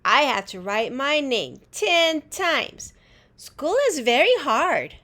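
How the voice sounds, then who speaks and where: A woman's voice: sits high, sing-song, slow pace, pushed and bright, voice pushed up, full character voice. A woman voicing a proud, self-important little sister in a storybook, childlike and pleased with herself.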